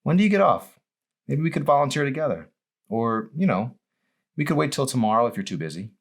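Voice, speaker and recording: A man voicing a spirited, sharp-tongued teenage girl in an audiobook, teasing and forthright. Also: mid-range voice, very animated, fairly quick, pitched above the performer's own voice. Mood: hopeful.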